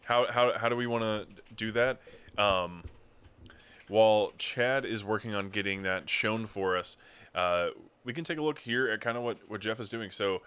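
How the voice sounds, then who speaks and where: A man co-hosting a casual livestream, explaining a giveaway in a relaxed way with fillers. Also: on the low side, clean tone. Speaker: stream co-host.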